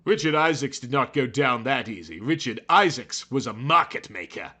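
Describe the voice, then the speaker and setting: A man's voice: mid-range voice, lively intonation, normal speed, sharp tone, slightly rough. A man reading fiction aloud as a dramatic narrator, with an emphatic, theatrical delivery. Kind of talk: dramatic, overwrought scripted narration.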